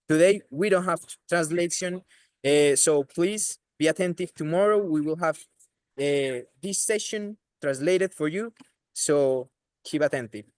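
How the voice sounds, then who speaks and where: A man hosts an online diploma course and introduces a guest speaker formally and courteously. His voice sits a little high, and the sound is dry and close. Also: clear, resonant tone, no room sound. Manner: formal, courteous, switches to English.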